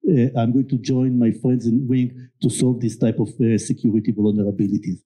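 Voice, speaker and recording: A middle-aged man with a thick Israeli accent, telling a candid, self-deprecating story on a panel stage with many pauses and fillers. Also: mid-range voice, little pitch movement, mellow, low-quality recording. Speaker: guest, security company executive. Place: event stage before an audience.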